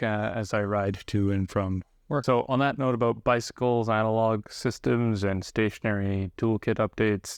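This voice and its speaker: Low-pitched, even-toned man, reflective. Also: no echo, studio quality. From grew up partly in South Korea, living in Canada. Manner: unhurried, reflective, pausing mid-sentence. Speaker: stationery video host. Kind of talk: reflective casual monologue to viewers.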